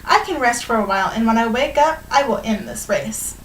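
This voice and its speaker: A woman's voice: fairly high pitch, expressive, brisk, a bit bright. Woman reading as a boastful storybook hare, roomy and distant. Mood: gloating. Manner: bragging, mocking. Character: boastful bullying young male hare. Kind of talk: read-aloud children's storybook. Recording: roomy, distant mic, faint noise.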